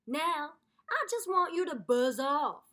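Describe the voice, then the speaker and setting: A woman voicing a grumpy, exasperated male alligator in a picture book, loud, indignant and emphatic. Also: sits high, big pitch swings, takes it slowly, full character voice. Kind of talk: picture book read-aloud.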